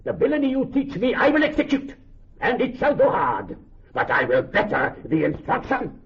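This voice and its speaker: A man's voice: high-pitched, wildly swooping pitch, normal speed, creaky, full character voice. A wronged, vengeful moneylender in a classical radio drama. His rhetorical delivery leaps and plunges through a broad range. The sound is a little muffled. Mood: vengeful fury. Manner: declamatory, rhetorical, building in repetition. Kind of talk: classical Shakespearean radio acting.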